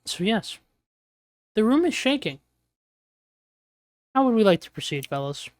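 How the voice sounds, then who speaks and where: Young male game master, smooth clear voice over a chat mic, playful and teasing. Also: high-pitched, big pitch swings, measured pace, no room sound. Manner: joking, teasing, trails off mid-sentence. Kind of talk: casual voice chat running a tabletop game. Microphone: online voice chat mic.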